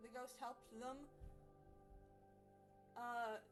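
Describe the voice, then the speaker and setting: A woman's voice: a little high, level tone, drawn-out words, over music. A young woman co-host chatting informally and emphatically about paranormal stories, often repeating herself.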